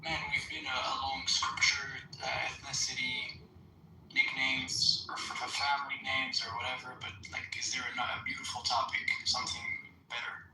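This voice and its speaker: A man's voice: medium pitch, little pitch movement, moderate pace, loud music behind the voice. A man from Quebec whose first language is French, talking casually in English in a debate chat with many fillers and restarts. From Canada, Quebec. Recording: echoey, distant mic.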